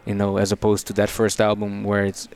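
A man's voice: fairly low pitch, monotone, brisk, darkish tone, clear, resonant tone. A young man who grew up in Brazil, a musician talking thoughtfully in an interview, often repeating words as he searches for what to say. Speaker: musician guest. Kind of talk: conversational interview.

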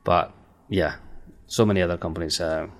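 A man from India speaks with an Indian accent and a low, deep voice. He is a guest in a relaxed podcast interview, talking freely and filling pauses with ums and uhs. He laughs lightly between his points. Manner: chatty, laughs between points, many fillers. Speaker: guest, cybersecurity company founder.

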